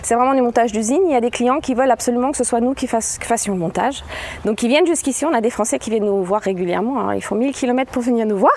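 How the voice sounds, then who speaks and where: A woman's voice: on the high side, very fast, a little mellow. A woman on staff guiding a visitor through a new office building, explaining in a hesitant way with many fillers and frequent laughs. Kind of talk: casual spoken tour explanation.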